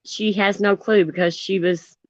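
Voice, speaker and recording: A woman's voice: medium pitch, steady tone, moderate pace, no echo, dull audio. A woman calling into a live chat and giving her opinions in a chatty way. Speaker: guest caller. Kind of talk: casual chat.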